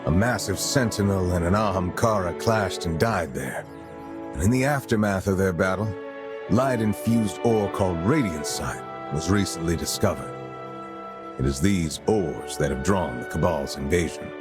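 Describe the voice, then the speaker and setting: An actor playing a grave veteran lord and military commander in a video game, speaking in a formal, measured way. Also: male voice, low-pitched, expressive, music in the background. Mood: solemn, earnest. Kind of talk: dramatic video game cutscene acting.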